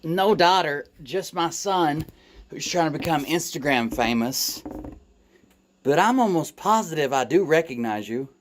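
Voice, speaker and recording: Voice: medium pitch, lively intonation, a little slow, slight background noise, natural voice. Someone playing a chatty, friendly, boastful massage therapist in a comedy skit, making casual small talk. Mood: friendly, curious.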